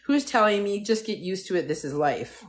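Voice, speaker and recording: A woman's voice: medium pitch, animated, normal speed. A woman in her fifties hosting a TikTok live, talking bluntly and profanely in a casual rant with many restarts. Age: 50s.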